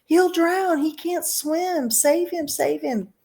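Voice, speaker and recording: A woman voicing a frantic mother who shrieks in a shrill, panicky voice. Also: moderate pace, darkish tone. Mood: panicked.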